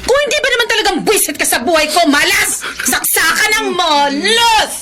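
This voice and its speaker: A woman's voice: very high voice, animated. A woman playing a cruel, ill-tempered gambling mother, scolding and shrieking in a Filipino radio melodrama. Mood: furious, scolding. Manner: scolding, shrieking, drawn-out insults. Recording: very noisy radio drama audio.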